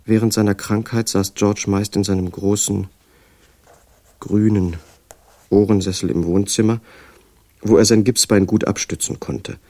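Measured storyteller: low, gravelly, matter-of-fact, dry close studio sound, radio drama. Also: male voice, fairly even intonation, mellow, no echo. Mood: measured, factual. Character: measured male storyteller, a writer recounting another man's life. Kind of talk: scripted literary narration in a radio drama.

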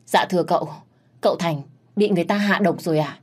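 A woman's voice: fairly low pitch, fast talker, a little mellow, breathy edge. A woman doing a cool, scheming old servant woman. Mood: cool politeness. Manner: cool, unruffled, polite. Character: calm, scheming older female servant.

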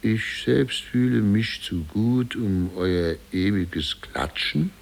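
An actor playing a pompous, blustering, superstitious small-town mayor in a 1950s German radio play. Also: male voice, fairly low pitch, slow pace, gravelly, exaggerated voice. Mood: grave, slow reading. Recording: 1950s radio recording from cassette.

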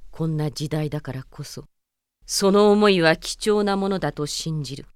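An actress playing a proud, stern young warrior princess in a radio drama, formal and resolute. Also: female voice, low-pitched, normal speed, clean tone, close to an everyday voice.

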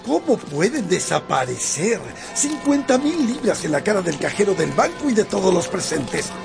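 Radio play role: wealthy, formal, indignant gentleman, theatrical, gravelly creak, very lively intonation. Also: sits high, moderate pace, music in the background, exaggerated voice. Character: indignant wealthy English gentleman, club member, robbed depositor. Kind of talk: Spanish-language radio drama acting.

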